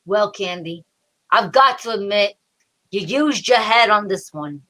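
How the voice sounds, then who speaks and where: Woman doing a tough radio mystery sidekick, casual banter, bright voice, swingy pitch. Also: animated, a little slow. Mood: wry, casual. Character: Mallard, tough casual detective pal of the heroine. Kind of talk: old-time radio drama acting.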